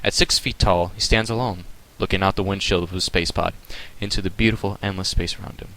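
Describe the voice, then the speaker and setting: A man reading his own fan story aloud as a soft, hushed dramatic narrator. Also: sits low, steady tone, brisk, low-quality recording.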